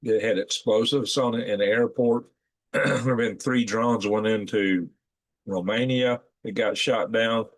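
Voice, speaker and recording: A hoarse man hosting a casual livestream, rambling folksily with fillers and restarts while reading out viewer comments. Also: fairly low pitch, steady tone, a little slow. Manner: reads chat names aloud and answers viewers. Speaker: livestream host.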